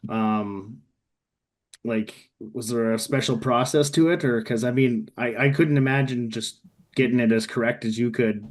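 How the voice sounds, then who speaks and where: A man asking casual, unscripted interview questions in a halting, wordy and tentative way. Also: mid-range voice, fairly even intonation.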